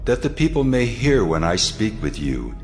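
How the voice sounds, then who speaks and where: A majestic, commanding God played by a man speaks in a dramatized scripture reading. The voice is very deep and swings widely in pitch, grave and authoritative, with soft music underneath. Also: sing-song, normal speed. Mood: solemn, commanding. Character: God, solemn, commanding, majestic male deity.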